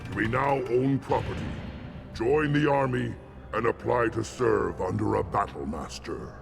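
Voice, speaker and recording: A man voicing a solemn, zealous alien warrior shaman in a video game, gravelly and ceremonial in his grand declarations. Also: lowish voice, unhurried, music in the background. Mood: solemn, proud. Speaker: clan shaman.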